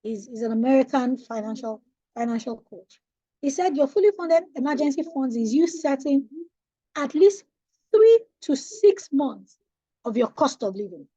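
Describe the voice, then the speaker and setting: A woman's voice: high-pitched, warm tone, dry recording, highs cut off. A Nigerian woman coaching young people on money in an online webinar, emphatic and preacherly, often putting questions to her listeners. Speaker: financial coach. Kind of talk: webinar talk on personal finance. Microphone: online webinar audio.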